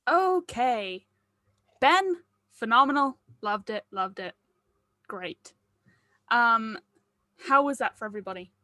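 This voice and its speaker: A woman's voice: on the high side, lively intonation, slow, pauses often, bright, forceful tone, no echo, clean studio sound. A woman leading a script table read, chatting casually and asking for feedback.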